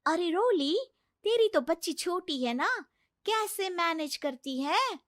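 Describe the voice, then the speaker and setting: Woman acting young female coworker, curious, lively, informal chat, very high voice, storybook drama. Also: takes it slowly, no echo, studio quality, exaggerated voice. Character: chatty, curious young female office friend.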